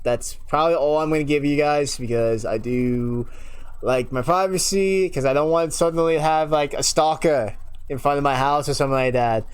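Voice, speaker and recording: A young man streaming live, rambling casually to his viewers and often losing track mid-thought. His voice sits a little high and sounds smooth and clear, with a slight unusual lilt. He chuckles often as he talks. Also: moderate pace. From United States (New York). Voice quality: slight unusual lilt left from a childhood speech impairment.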